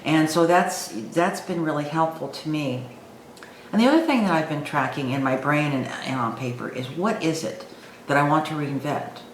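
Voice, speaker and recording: A woman's voice: sits low, normal speed. A woman aged sixty-five talking informally and reflectively to her viewers, thinking aloud with some hesitation. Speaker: online video host. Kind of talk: reflective personal talk to camera. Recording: echoey room with faint background noise.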